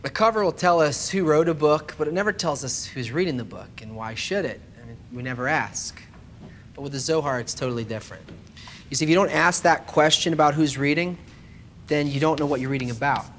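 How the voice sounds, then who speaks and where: A man's voice: on the high side, brisk, fairly bright tone, a little hiss or hum. A man giving an explanatory spiritual lesson in a conversational but scripted way, speaking directly to the listener and posing rhetorical questions. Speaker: lecturer. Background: background music.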